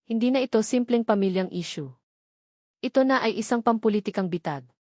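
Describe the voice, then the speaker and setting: A woman's voice: fairly high pitch, expressive, brisk, a little mellow, dry recording, studio quality. A woman reading a scripted dramatic story as its narrator.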